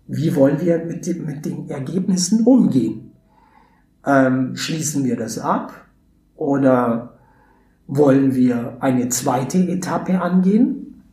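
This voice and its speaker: Man, warm voice, roomy sound. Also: medium pitch. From Brazil. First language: Portuguese. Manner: thoughtful, hesitant with frequent fillers and restarts. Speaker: podcast guest, theatre educator. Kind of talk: conversational interview. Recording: roomy echo, faint background hiss.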